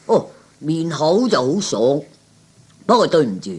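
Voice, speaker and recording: A man's voice: a little high, very animated, measured pace, breathy edge, full character voice. A man playing a boastful older male racegoer with a self-important drawl in a 1960s Cantonese radio comedy. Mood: puzzled, reluctant.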